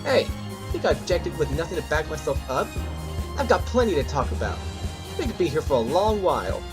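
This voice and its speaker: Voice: medium pitch, very animated, brisk, over music, close to an everyday voice. An actor playing a brash, cocky surprise witness in a courtroom audio drama, combative and insulting in an informal way. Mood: confident.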